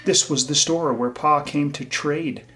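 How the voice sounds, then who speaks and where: A man's voice: on the high side, fairly even intonation, a little slow, pushed and bright. A man reading a children's story aloud and talking to young listeners in a simple, explanatory way. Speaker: teacher reading a picture book aloud to children.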